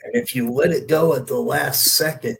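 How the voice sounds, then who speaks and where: A man with a mid-pitched voice tells stories in a loose, conversational way. His talk is salty and profane, like a veteran performer reminiscing on a podcast panel. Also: moderate pace, gravelly, a little hiss or hum, poor audio. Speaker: former wrestler on a podcast panel. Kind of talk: casual storytelling chat.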